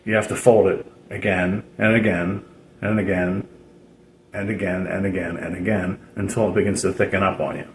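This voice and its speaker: A man giving a casual, unscripted DIY how-to in a halting, rambling way, repeating himself as he explains. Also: low-pitched, level tone. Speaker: DIY radio hobbyist presenter. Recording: roomy echo, noticeable background noise.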